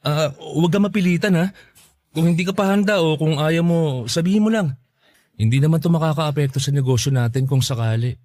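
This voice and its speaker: A man's voice: on the high side, a little fast, darkish tone, dry recording, close to an everyday voice. A man acting an earnest, humble mango farmer in a Filipino radio drama, speaking in a sincere, conversational way. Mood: shy, tender.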